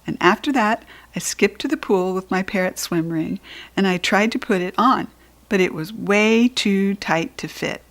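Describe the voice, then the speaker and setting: A woman's voice: mid-range voice, normal speed. A woman with an American accent narrating a children's book expressively as a storybook reader.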